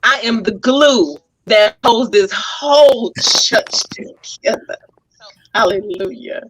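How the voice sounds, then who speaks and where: A woman hosting a lively, casual group chat, teasing and exclamatory as she talks. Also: a little high, slow pace, background noise. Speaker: talk show host and stage play actress.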